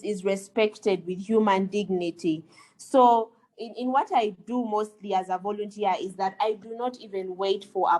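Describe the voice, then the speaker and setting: A woman's voice: mid-range voice, rough recording. Earnest Ugandan woman on a webinar. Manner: earnest, with frequent fillers and restarts. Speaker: lawyer and webinar speaker. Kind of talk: webinar panel talk. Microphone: video call.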